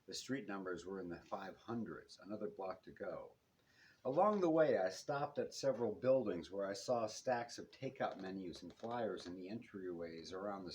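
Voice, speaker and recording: A man with a fairly low voice reads first-person detective fiction aloud. He moves through it in measured, short phrases that drop at the ends. It is a live bookshop recording with some noise behind him. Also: a little mellow, low-quality recording. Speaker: author reading own novel.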